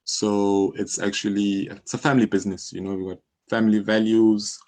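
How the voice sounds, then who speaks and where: A man's voice: lowish voice, level tone, moderate pace, a little mellow. A man in his thirties with a South African accent, explaining his work informally as an interview guest, often saying "you know". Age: 30s. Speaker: interview guest, music publisher. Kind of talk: conversational interview.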